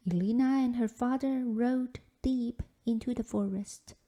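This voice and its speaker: A woman narrates a children's folktale from a book. Her voice sits fairly high, with a soft, very mellow tone, and she reads expressively as a storyteller. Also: steady tone, measured pace. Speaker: storybook reader. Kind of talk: expressive scripted read-aloud of a children's folktale.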